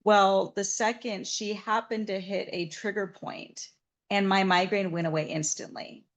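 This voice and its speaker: A woman's voice: mid-range voice, fairly even intonation, a little slow. A woman from Southern Nevada, a massage therapist, telling her story in an interview in an earnest, reflective way.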